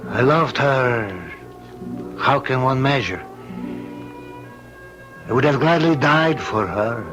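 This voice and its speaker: An actor playing a tormented older Spanish nobleman in an old radio drama, with a solemn, halting, melodramatic delivery. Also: mid-range voice, close to an everyday voice, poor audio.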